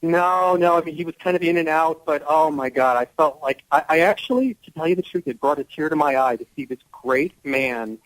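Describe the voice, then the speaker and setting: A man's voice: a little high, fairly quick, hardly any pauses, a bit bright, dry recording, rough recording. Middle-aged American man, effusive, dry close sound. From Chicago area, United States. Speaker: actor, radio show guest. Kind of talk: radio interview, anecdotal storytelling.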